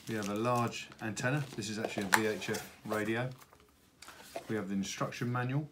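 A middle-aged man giving an unscripted product unboxing walkthrough, speaking haltingly with many hesitations. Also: lowish voice. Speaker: product presenter for a radio retailer. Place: indoors at a wooden table in an office. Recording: steady background room noise.

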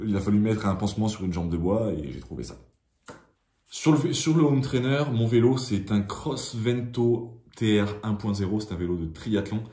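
A man's voice: fairly low pitch, mellow. A young man in his twenties giving a casual, hesitant tour of his small home gym, talking to a phone camera held at arm's length. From France. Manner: chatty, hesitant, many restarts and fillers. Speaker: triathlon vlogger. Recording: echoey small room.

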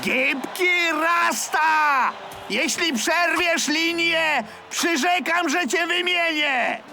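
Creaky, high-strung, bullying football coach. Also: male voice, drawn-out words, pushed and bright, slight background noise, heavily acted. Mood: angry, barking. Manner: barking orders and threats. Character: domineering, hot-tempered male football coach. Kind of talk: cartoon dub acting.

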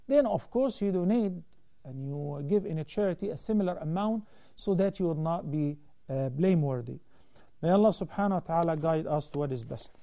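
A man in his thirties, an Islamic scholar hosting a call-in TV show, explaining rulings in a measured way from a studio desk on a lapel mic. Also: a little high, expressive, warm tone. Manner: measured, explanatory, gestures while talking. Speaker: Islamic scholar answering viewer questions. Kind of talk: call-in religious Q&A.

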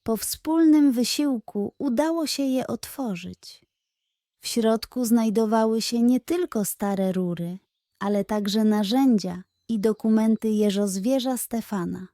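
A woman storyteller reads a children's bedtime tale. Her voice is fairly high and soft in tone, and she takes her time with the scripted narration. The recording is dry and close. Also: a little slow, no echo.

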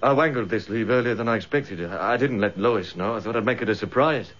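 Brash army instructor, muffled recording. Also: male voice, fairly low pitch, quick pace, fairly bright tone. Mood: bewildered. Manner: brash, blunt, forthright. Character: tough, cocky, protective army instructor and fiancé. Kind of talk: radio drama acting. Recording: dull lo-fi radio drama recording.

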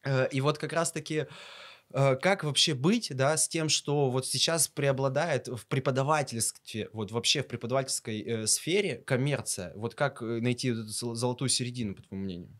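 A young man speaks as a podcast host in a conversational interview. His voice sits at an average pitch, and he builds long, searching sentences. He is recorded on a close microphone in a small studio. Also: moderate pace, a bit bright. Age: young adult. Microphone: boom-mounted studio microphone up close.

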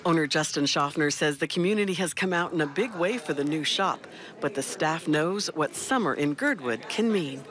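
A woman's voice: deep voice, expressive, moderate pace, fairly bright tone. An American woman reporter reading scripted narration for a TV feature story. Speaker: TV news reporter.

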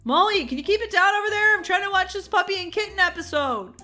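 Lazy, gushing man-child dad, played by a woman; high-voiced, animated, whiny, for game roleplay. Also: fast, soft background music, exaggerated voice. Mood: lazily annoyed.

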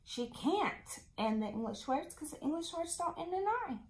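A woman in her thirties giving young children a lesson, speaking as an encouraging, deliberate teacher. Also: high voice, expressive, slight background noise. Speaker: elementary school teacher. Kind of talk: children's video mini lesson.

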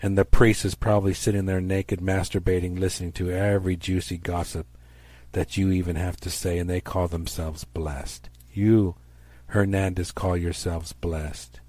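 A man's voice: low voice, level tone, moderate pace, a little mellow, no echo. A middle-aged man preaching a stern, accusatory fire-and-brimstone sermon in an emphatic, declamatory way.

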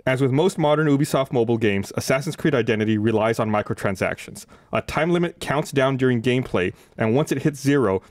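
A man in his thirties chats casually as a podcast host. His voice sits at a middle pitch. It is recorded close on a studio microphone with very little room sound. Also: darkish tone, no room sound. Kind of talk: casual panel discussion. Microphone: close studio microphone on desk.

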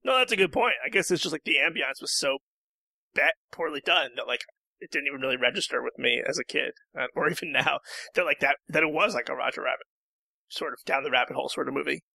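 A man's voice: on the high side, fast talker, vocal fry, bright, forceful tone, no echo, poor audio. A man speaking as a panel guest in a casual discussion.

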